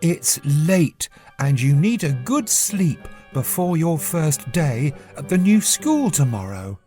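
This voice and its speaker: A gently scolding storybook mum, put on by a narrator. The voice is raised a little and lively, caring under the firmness, with music behind. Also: mid-range voice, takes it slowly, voice pushed up. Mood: firm. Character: mum, gently firm with her young daughters.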